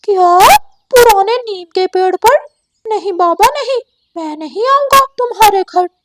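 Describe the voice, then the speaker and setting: Woman doing a squeaky, excitable male parrot. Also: a little slow, noisy background. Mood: alarmed. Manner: exclamatory, nosy. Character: excitable, chatty male parrot, easily scared.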